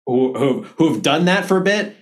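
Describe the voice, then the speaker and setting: A man's voice: fairly high pitch, animated, moderate pace. A man hosting a casual interview, often breaking off and restarting his sentences. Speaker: podcast host.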